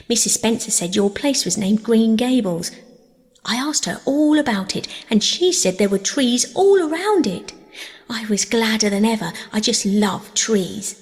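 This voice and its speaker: Audiobook child role, performed by a woman: talkative, wordy, starry-eyed young orphan girl; long hall reverb. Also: fairly high pitch. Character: chatty, dreamy, eager young orphan girl. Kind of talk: audiobook character voice.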